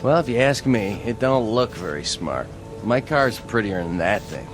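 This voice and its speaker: Radio drama factory hand, played by a man: lowish voice, lively intonation, folksy, easygoing, modest. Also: normal speed, music in the background, lightly acted. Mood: skeptical, joking. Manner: folksy, casual working-class speech. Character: easygoing, modest, plain-spoken factory worker.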